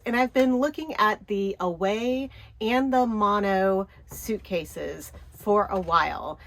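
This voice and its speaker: A middle-aged woman with a stuffy, hoarse voice from a cold, chatting upbeat through a shopping wish-list vlog. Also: medium pitch. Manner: chatty, upbeat, with little laughs. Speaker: travel vlogger.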